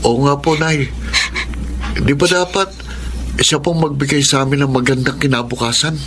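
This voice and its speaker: A man's voice: medium pitch, fairly even intonation, normal speed, fairly bright tone, slight background noise, natural voice. An actor playing a dutiful, hardworking young son in a radio drama, respectful and deferential to his elders. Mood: troubled. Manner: polite, deferential. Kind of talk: Tagalog radio drama acting.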